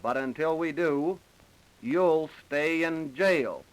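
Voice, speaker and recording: A man's voice: a little high, slow pace, poor audio. An American man acting a dogged, sardonic police captain questioning a suspect in a 1930s radio drama. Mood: hard, insistent. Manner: sardonic, pressing, plain-spoken. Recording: vintage 1930s radio recording.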